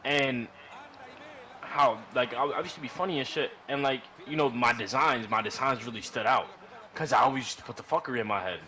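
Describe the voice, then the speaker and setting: A man rambles through a casual, profane story, slangy and animated, often repeating himself. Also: medium pitch, a little fast. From New York. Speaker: podcast storyteller. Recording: noisy room recording.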